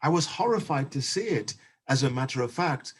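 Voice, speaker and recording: A male panelist with a slightly husky, rough-edged voice at an ordinary pitch. He speaks in a measured, oratorical manner, leaning on key phrases with emphasis. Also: expressive. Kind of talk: impassioned panel discussion.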